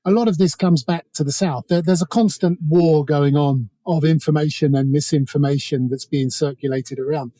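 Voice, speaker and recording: A man's voice: fairly high pitch, fairly even intonation, moderate pace, few pauses, dark tone, no room sound. A man speaking as an interview guest, giving informal, discursive analysis with a wry edge. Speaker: interview guest, author on North Korea.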